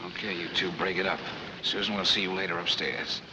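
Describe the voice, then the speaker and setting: An actor playing a confident, brisk male schemer with an assured, wisecracking manner in an old film. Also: low-pitched, fairly even intonation, lightly acted. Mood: wry. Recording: noisy old film soundtrack.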